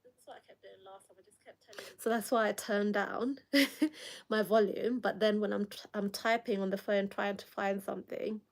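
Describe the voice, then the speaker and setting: A woman's voice: medium pitch, fairly even intonation, normal speed, vocal fry. A young woman with a Kenyan accent who hosts a late-night livestream. She keeps up a casual chat, laughs often, reads viewer comments aloud, and coughs now and then from a lingering cold. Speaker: livestream host.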